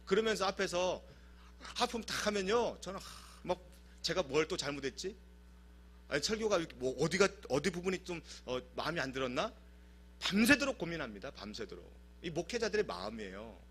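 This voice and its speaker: Animated, high husky male pastor. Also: fairly quick. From South Korea. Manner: animated, humorous storytelling. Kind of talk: sermon. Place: church service.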